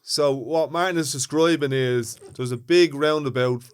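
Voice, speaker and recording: A man with a Dublin accent co-hosts a casual podcast. He lays out his points earnestly, restarting his sentences as he talks. His voice has an ordinary male pitch. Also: moderate pace, clean tone. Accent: Irish, Dublin. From Ireland. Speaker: podcast co-host. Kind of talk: casual chat.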